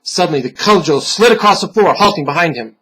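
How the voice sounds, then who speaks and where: A man giving a dramatic, solemn reading of a dark fantasy story, theatrical and deliberate, with pauses for effect and a husky voice. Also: fairly high pitch, expressive, rough recording. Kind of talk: dramatic scripted narration.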